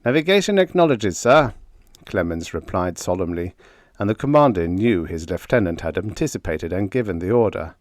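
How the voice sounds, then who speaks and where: A man's voice: low voice, a little fast, darkish tone, no echo, studio quality. A man narrating an audiobook of pulp adventure fiction in a dramatic, scripted reading style.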